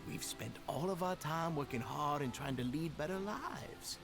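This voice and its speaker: A suave society enforcer in a video game, courteous on the surface and menacing beneath. His delivery is animated and condescending, with ornate politeness. Music plays clearly behind him. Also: on the high side, moderate pace. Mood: pleading, indignant. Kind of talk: scripted game voice acting.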